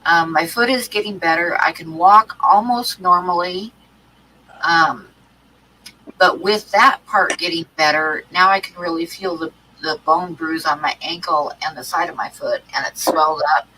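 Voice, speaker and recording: A woman's voice: lowish voice, normal speed, sharp tone, slight background noise, rough recording. A woman with a stuffy cold hosting an art livestream, chatting casually with many ums and restarts. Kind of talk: casual chat to live chat.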